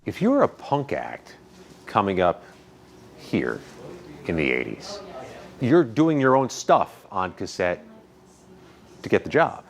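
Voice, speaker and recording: A man's voice: on the low side, huge pitch swings, takes it slowly, long pauses. A man asking conversational questions as an interviewer.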